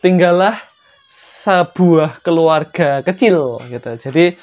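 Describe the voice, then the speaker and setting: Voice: medium pitch, slow pace, a little mellow. A young adult with a Javanese-influenced Indonesian accent improvises a story casually, with playful, joking asides. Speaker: storyteller, channel host.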